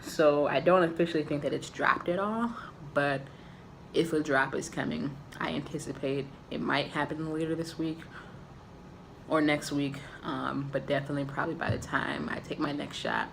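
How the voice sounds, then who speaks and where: A man giving a candid, casual personal update to camera, with a raspy voice and frequent filler ums. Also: on the high side, steady tone, normal speed, fairly bright tone, slight background noise, low-quality recording. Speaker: vlogger.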